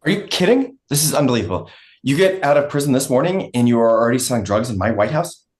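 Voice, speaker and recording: A furious, threatening woman boss, voiced by an actress in a comic play reading. Her voice sits low but swings widely as she vents exasperation. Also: animated, quick pace, darkish tone. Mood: outraged. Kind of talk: script table read.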